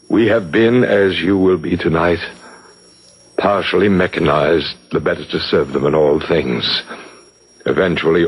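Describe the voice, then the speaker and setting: An actor playing a commanding, sinister cult leader in a 1970s radio drama, solemn, measured and hypnotic, on an old broadcast. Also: male voice, low voice, slightly rough, heavily acted, low-quality recording.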